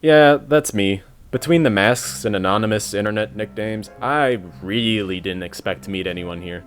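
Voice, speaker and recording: Young man acting awkward, sarcastic homebody gamer, lowish voice, romcom audio play with music. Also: moderate pace, lightly acted. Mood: dry, casual. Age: young adult. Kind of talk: amateur romantic comedy audio drama.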